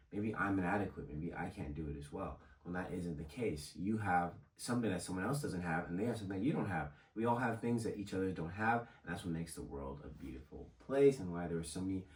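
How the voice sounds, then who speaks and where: A young man with a slightly raspy voice, hosting a casual motivational vlog in a conversational, encouraging way. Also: sits low. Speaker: host of a motivational video series. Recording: echoey room, distant microphone.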